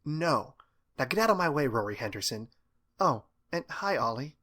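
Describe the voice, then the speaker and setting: A man's voice: mid-range voice, very animated, moderate pace, bright, forceful tone. A man voicing a dismissive teenage girl in a storybook reading, curt and weary. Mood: exasperated.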